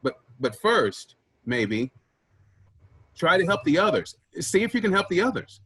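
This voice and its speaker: A man acting in a staged play reading as an earnest, wistful man searching for his vanished sibling, with a husky voice. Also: high voice, very animated, a little slow, a bit bright, exaggerated voice. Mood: urgent, searching.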